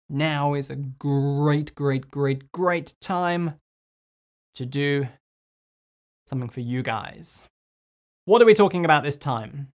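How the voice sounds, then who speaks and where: A man hosting a solo English-learning podcast, hoarse from losing his voice, talking in a chatty, musing way with little asides. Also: on the high side. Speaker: podcast host and English teacher.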